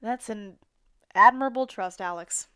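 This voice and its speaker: A woman's voice: medium pitch, normal speed, bright, forceful tone, smooth, close to an everyday voice. An actress playing a sardonic, reluctant woman co-hosting small-town radio, with a blunt, grudging delivery. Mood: dry, reluctant. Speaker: radio co-host. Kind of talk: scripted radio announcing with sarcastic asides.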